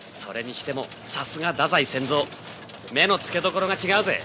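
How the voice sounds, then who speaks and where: A man's voice: on the high side, expressive, fairly quick, background noise, close to an everyday voice. An actor playing a brash, driven young male treasure hunter in an audio drama, with intense dramatic delivery. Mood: impatient, excited. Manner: cocky, intense, rough casual speech. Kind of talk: dramatic first-person narration and dialogue, audio drama.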